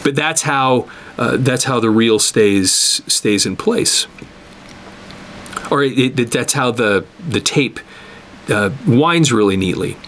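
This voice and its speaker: A man giving an unscripted hands-on repair tutorial, explaining step by step in a halting way and repeating words as he works. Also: medium pitch, fairly bright tone, a little hiss or hum. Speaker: instructor demonstrating cassette tape repair.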